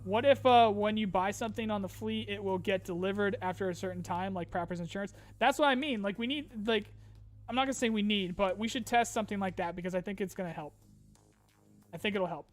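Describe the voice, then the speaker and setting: A man with a high voice talks casually to his viewers, reading their questions and answering off the cuff with plenty of ums. He sounds a little tired, his voice a bit strained. Soft music plays faintly underneath. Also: fairly quick. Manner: reads viewer questions aloud then answers casually with fillers. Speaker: streamer answering chat questions. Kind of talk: casual chat.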